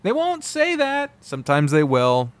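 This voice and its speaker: A man giving an unscripted, casual review, blunt, profane and opinionated, often halting, digressing and trailing off mid-sentence. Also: on the high side, wildly swooping pitch, no room sound. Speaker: visual novel reviewer.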